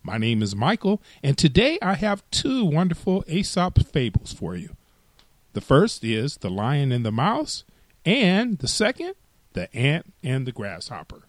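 A man hosting a storytelling podcast, reading children's fables in an expressive storybook style. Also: a little high, measured pace, dry recording.

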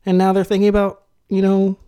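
A woman's voice: mid-range voice, monotone, brisk, warm tone, smooth. A woman co-hosting a casual TV recap podcast, playful and enthusiastic as she steers the discussion. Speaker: podcast co-host.

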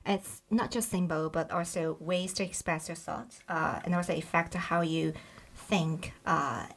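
A young woman from mainland China, whose first language is Bai, talks as a podcast guest. Her voice sits a little low and carries a gravelly fry. She speaks haltingly, with frequent fillers and restarts. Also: fairly even intonation. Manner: hesitant, many fillers and restarts. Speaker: guest, startup founding member. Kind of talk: casual interview talk.

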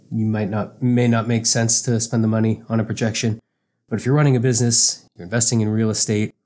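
A man hosts his own podcast, walking listeners through practical advice in a relaxed, conversational way. He is getting over a cold, and his fairly low voice carries a slightly off, under-the-weather sound. He talks plainly and restarts the odd phrase. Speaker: podcast host, accountant. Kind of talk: casual explanatory podcast talk.

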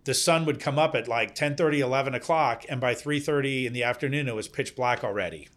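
A man's voice: mid-range voice, fast, a bit bright, a little hiss or hum. A man from Denmark hosting a podcast, interviewing a guest in a casual, friendly way with frequent restarts and backchannel murmurs. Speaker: podcast host.

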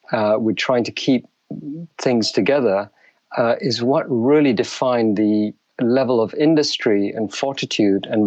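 A middle-aged man from Malaysia, telling his life story as an interview guest in a measured, thoughtful way. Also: fairly low pitch, a little mellow, dry recording, highs cut off. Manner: measured, thoughtful, with frequent restarts.

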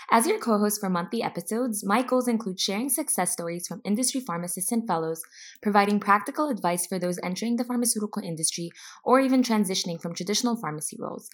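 A young woman in her twenties co-hosting an interview podcast, reading a clear intro and then asking organized questions. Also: mid-range voice. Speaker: podcast co-host.

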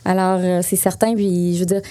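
A middle-aged woman from Lac-Saint-Jean with a Quebec French accent, chatting as an interview guest about her work and travel plans, animated and full of fillers. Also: on the low side, normal speed. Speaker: guest, essential oils consultant. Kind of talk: casual conversational interview.

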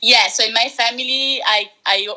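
A woman's voice: on the high side, moderate pace. A young woman from Italy, chatting casually as a guest on a podcast interview over a remote call. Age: young adult. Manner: relaxed, loosely strung sentences with fillers. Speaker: podcast guest, art graduate.

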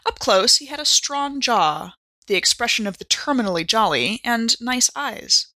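Bright, dry-recorded woman podcast performer. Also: fairly high pitch, expressive, normal speed, no room sound. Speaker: podcast cast member. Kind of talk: dramatic audiobook-style reading and casual chat.

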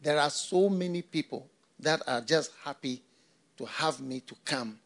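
A man's voice: fairly high pitch, unhurried, fairly bright tone. An older man from Ghana, a pastor, preaching a sermon with repeated phrases and rhetorical questions.

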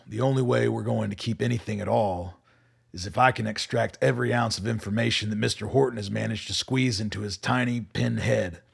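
An actor playing a hardened, weary army colonel in a radio play, gravelly and husky, with a dry, deliberate manner. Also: male voice, fairly low pitch, fairly even intonation, exaggerated voice. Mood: grim, commanding.

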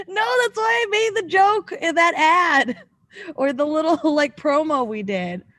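A woman with a fairly high voice hosts a podcast in a loose, chatty way. She is animated and quick to giggle. The sound is very dry, with hardly any room on it. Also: dry recording. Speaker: podcast host. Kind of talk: casual chat.

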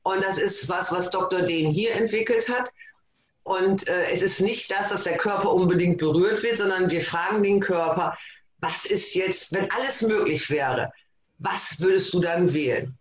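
A man from East Frisia, a guest in a casual interview, talking in an excited, bubbly way. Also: high-pitched, moderate pace, roomy sound, slight background noise. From East Frisia, Germany. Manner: excited, bubbly, self-described as overexcited. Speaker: guest, course facilitator.

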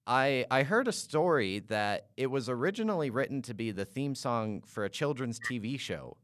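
A man from Canada hosting an interview and asking long, halting questions full of "uh"s and restarts. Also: mid-range voice, animated, fairly bright tone, dry recording, studio quality. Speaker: podcast host.

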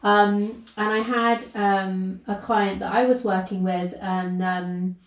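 A woman's voice: mid-range voice, fairly even intonation, takes it slowly. A woman with a British accent, from the north of England, hosting a casual podcast conversation in a friendly, encouraging way. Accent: British English. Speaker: podcast host, HR consultant. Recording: roomy echo.

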